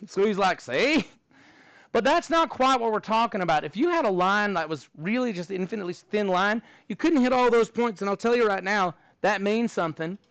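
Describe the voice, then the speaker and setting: A man's voice: sits high, animated, fairly quick, fairly bright tone, no room sound. A man with a Texan accent teaching a middle school math class, chatty and joking with his students between problems.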